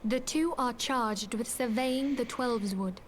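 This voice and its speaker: A woman's voice: on the high side, slight background noise, pitched above the performer's own voice. A woman reading as Minfilia, an earnest order leader. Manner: formal, measured storybook reading. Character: calm, earnest woman leading a secret order.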